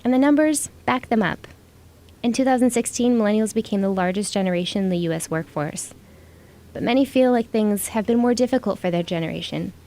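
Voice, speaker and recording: Mellow American woman narrating a report. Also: mid-range voice, a little fast. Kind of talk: scripted narration.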